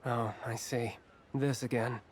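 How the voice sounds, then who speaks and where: A man's voice: mid-range voice, steady tone, measured pace, lightly acted. A man voicing a polite, discreet merchant guild representative in a video game, formal and courteous. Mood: musing.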